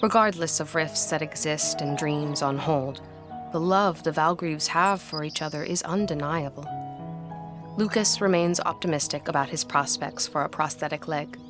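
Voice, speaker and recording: Gothic audio drama narrator: reflective young woman played by an actress, low register, formal literary delivery, score behind. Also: normal speed, music in the background, close to an everyday voice. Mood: tender, reflective.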